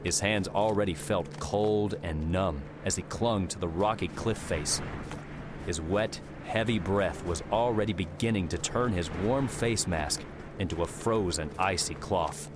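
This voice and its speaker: A man's voice: low voice, measured pace, noisy background. A man reading scripted adventure story narration as an audiobook narrator. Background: background music.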